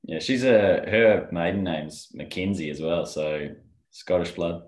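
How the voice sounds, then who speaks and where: A man's voice: sits low, unhurried, darkish tone, roomy sound. A man co-hosting a podcast as the golf rules expert, explaining rulings step by step. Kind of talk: casual chat.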